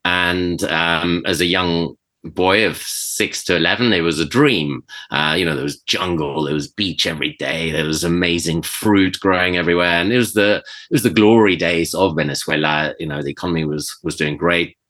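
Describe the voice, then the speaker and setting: A middle-aged man with a British accent speaks in a very low, bright voice with a forceful edge. He talks in a relaxed, reflective way, pausing on fillers and restarting phrases as he answers interview questions. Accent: British English. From Switzerland. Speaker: chef and podcast guest. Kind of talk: conversational interview.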